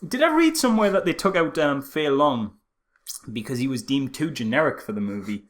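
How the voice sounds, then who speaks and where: A man's voice: medium pitch, big pitch swings, a little fast. A man co-hosting a casual film critique podcast, speaking in a sarcastic, mock-impressed way. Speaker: podcast co-host.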